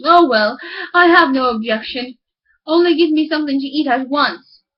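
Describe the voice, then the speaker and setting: A woman voicing a blunt, hungry, curious miller in a storybook, loud and emphatic. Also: high-pitched, normal speed, lo-fi sound, natural voice. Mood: gruff.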